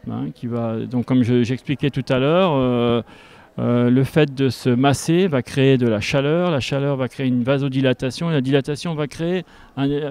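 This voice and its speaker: A man in his fifties speaking as an instructor in an interview, explaining step by step and haltingly into a handheld microphone. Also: mid-range voice, a little mellow, clear, resonant tone. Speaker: tai chi instructor. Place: large school gymnasium with a group behind. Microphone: handheld reporter microphone.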